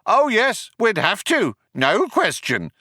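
A man performs an eager male alien cop backing up his partner in a sci-fi storybook. The voice is shouted, pushed very high and forceful. It chimes in keenly, with comic menace. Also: sing-song, moderate pace, smooth, no echo, studio quality, heavily acted. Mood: eager agreement. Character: second male alien cop, eager, chiming in, literary pretensions.

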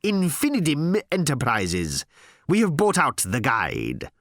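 A man voicing a smooth, cold, pompous editor-in-chief in an audiobook, speaking in a deliberate, condescending manner. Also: low voice, very animated, fairly bright tone, no room sound, studio quality, exaggerated voice. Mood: grandiose, insistent.

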